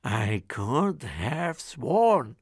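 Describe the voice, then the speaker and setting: A man voicing a huge, greedy male ogre with a slow, booming growl. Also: very animated, breathy edge, no room sound, clean studio sound, full character voice. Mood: suspicious.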